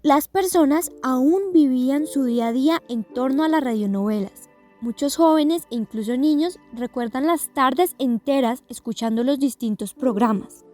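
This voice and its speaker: A woman with a Colombian accent narrates a documentary from a script. Her voice is quite high, and soft music plays underneath. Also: moderate pace.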